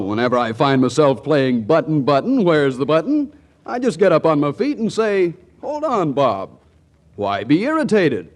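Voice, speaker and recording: Male announcer, slightly high, very animated, folksy comic sales patter, 1940s radio. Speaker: announcer and cigarette pitchman. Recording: old 1940s radio broadcast.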